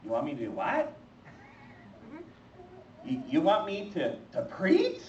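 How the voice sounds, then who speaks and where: Someone doing a squeaky lisping young prophet. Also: sing-song, unhurried. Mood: panicky. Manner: stammering, fretful complaining. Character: young reluctant prophet Jeremiah, nervous and whiny. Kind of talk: children's church puppet skit. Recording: roomy, distant, muffled, faint noise.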